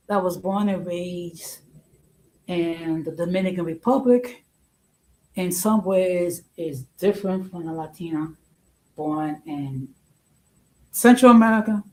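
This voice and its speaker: A woman speaks as the host of an informal talk, in a slightly low, relaxed voice. She moves very slowly and deliberately, halting often and repeating phrases to press her point. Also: low-quality recording. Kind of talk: informal opinion talk to an audience.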